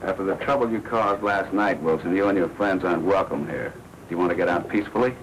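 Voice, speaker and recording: A man playing a menacing club-owning gangster in a 1940s noir. His voice is deep and coldly controlled. A faint noise sits on the vintage soundtrack. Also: quick pace, lightly acted. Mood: cold, warning. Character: cold, controlled gangster nightclub boss, menacing. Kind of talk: 1940s crime film acting. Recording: noisy 1940s film soundtrack.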